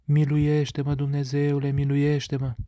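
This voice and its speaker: A man reading a long penitential prayer aloud in a solemn, steady, measured recitation. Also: on the high side, no echo. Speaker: prayer reader.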